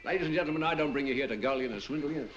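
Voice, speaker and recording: An actor playing a chatty, coaxing auctioneer in an old film. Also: male voice, a little high, very fast, a bit bright, lightly acted. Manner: chatty salesman patter, coaxing and chuckling. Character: jovial fast-talking London auctioneer, male, middle-aged. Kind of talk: 1940s film dialogue. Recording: dull old film soundtrack with music.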